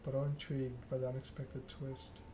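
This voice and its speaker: A man softly reading a story game aloud in a hushed, measured ASMR narration. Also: medium pitch, level tone. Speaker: video game let's-player reading the game aloud. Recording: clear background noise.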